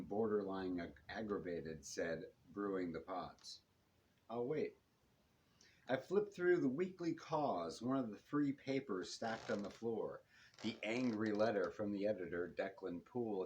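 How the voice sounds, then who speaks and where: A man with a fairly low voice reads first-person detective fiction aloud. He moves through it in measured, short phrases that drop at the ends. It is a live bookshop recording with some noise behind him. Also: low-quality recording. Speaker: author reading own novel.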